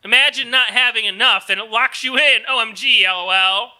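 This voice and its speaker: A man's voice: extremely high pitch, a little fast, pushed and bright. A man co-hosting a live comedy show, reading a script of comments in a snarky way. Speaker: comedy show co-host and performer.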